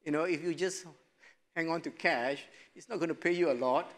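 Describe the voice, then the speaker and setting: A middle-aged man speaks as a panelist on a studio stage, recorded on a lapel mic. His talk is relaxed and loose, dotted with small chuckles and frequent filler phrases. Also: a little high, normal speed. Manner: relaxed, chuckling, many "you know" fillers. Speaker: investment panelist. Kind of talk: panel discussion answer.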